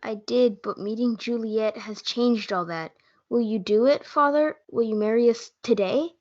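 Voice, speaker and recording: A woman's voice: a little high, moderate pace, a little mellow, close to an everyday voice. A young woman reading an earnest, lovestruck young man in amateur reader's theatre. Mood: earnest, eager. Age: teenager. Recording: dull lo-fi audio.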